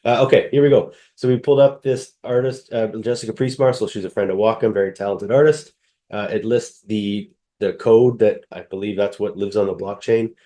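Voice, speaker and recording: A man with a cold who sounds nasal, walking through software on a screen share in a casual, hesitant way full of fillers. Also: fairly low pitch, steady tone, a little fast. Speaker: software demonstrator.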